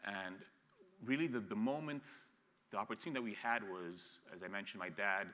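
Deep-voiced, blunt man on a panel. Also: fairly quick, bright, forceful tone, long reverb tail. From grew up in Ethiopia. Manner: blunt, frank, with frequent restarts and repeated words. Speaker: panelist, agribusiness entrepreneur. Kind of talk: candid panel talk. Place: studio stage set, seated in an armchair.

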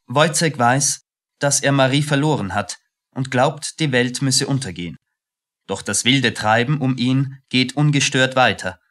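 A man narrates a radio play from a script. His voice is medium in pitch with a bright, forceful edge. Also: unhurried.

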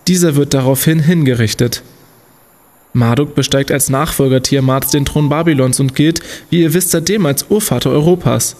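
An actor playing a clever, confident young student sleuth in a comic radio play, explaining things in a deliberate, declamatory way. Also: male voice, mid-range voice, a little mellow, close to an everyday voice. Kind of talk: German comedic audio drama acting.

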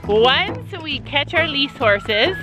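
Cheery Canadian mom vlogger, high voice, talking to the camera at arm's length. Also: female voice, expressive, slow. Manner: chatty, upbeat, talking straight to camera. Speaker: family vlogger. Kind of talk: casual vlog narration. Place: home and outdoor horse barn. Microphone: handheld camera at arm's length. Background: background music.

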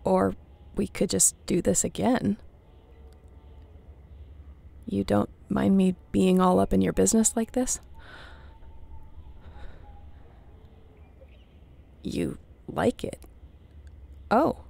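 A young woman survivor of the apocalypse, acted by a woman for an intimate audio roleplay. She talks slowly at a medium pitch, with plenty of fry in her voice. Her manner is playful and tender, that of a close companion. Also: fairly even intonation, mellow, exaggerated voice.

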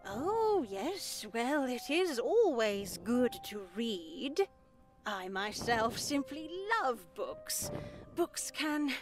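A woman voicing a stern, pious elderly nun in a visual novel game, measured, formal and sanctimonious. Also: fairly high pitch. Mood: coolly polite.

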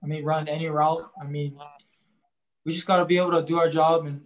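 A young man, a college quarterback, answering post-game press questions in a halting way, leaning on "I mean" and crediting his teammates. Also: on the high side, flat delivery. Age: young adult. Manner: halting, starts nearly every phrase with "I mean".

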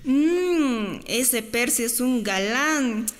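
A woman's voice: on the high side, expressive, extremely slow, big-room sound. A woman acting a scornful, sarcastic female official in a radio drama. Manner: sarcastic, contemptuous. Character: scornful, mocking woman official dismissing a girl.

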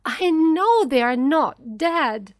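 A woman's voice: fairly high pitch, very animated, slow, a bit bright, full character voice. A woman voicing a desperate, pleading mother in a storybook, crying out insistently. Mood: frantic insistence. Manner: screaming, insistent, imploring. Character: desperate, pleading mother stranded on storm-beaten rock.

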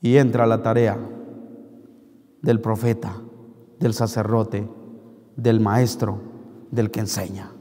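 A middle-aged man with a Mexican accent, a priest giving an animated homily at Mass, joking and scolding with rhetorical questions into a handheld mic. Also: lowish voice, takes it slowly, long pauses. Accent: Mexican Spanish. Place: large church, indoors. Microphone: handheld microphone. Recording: long church reverb.

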